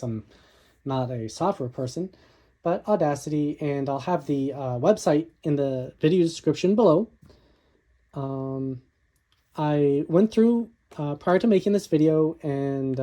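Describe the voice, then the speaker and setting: A tech video host casually walking through a product tutorial in a hesitant, halting, informal way. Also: medium pitch, dark tone.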